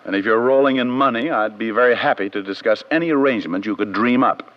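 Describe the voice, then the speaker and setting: An actor playing a broke private detective with a flippant, dryly joking manner in old-time radio style. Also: male voice, mid-range voice, fast, close to an everyday voice. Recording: dull vintage radio recording.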